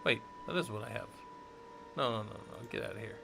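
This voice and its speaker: A man's voice: fairly low pitch, fairly quick, creaky, background noise. A man from the Southwest United States rambling and thinking aloud while teaching a casual live-stream tech tutorial, chatting with viewers as he works.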